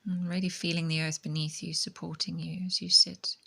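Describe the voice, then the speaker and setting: A woman leads a guided meditation as a yoga teacher. Her voice is low and very even, with little rise or fall and a creaky edge. She speaks softly and gently, often trailing off into a near-whisper. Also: a little fast. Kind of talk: guided meditation, soft spoken instruction.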